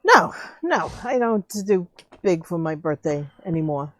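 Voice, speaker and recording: Easygoing woman in her sixties, lively intonation-free dry sound. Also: fairly low pitch, measured pace, dry recording. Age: 60s. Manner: easygoing, brief attentive replies and questions. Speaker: streamer's mother and co-host. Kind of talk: casual chat.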